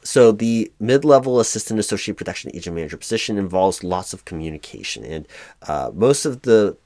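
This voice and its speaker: A man performing a job interview roleplay as a flustered, apologetic hiring manager, speaking formally in a halting monotone. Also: lowish voice, no echo. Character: mildly frustrated, apologetic male corporate hiring manager. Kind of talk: one-sided job interview audio roleplay.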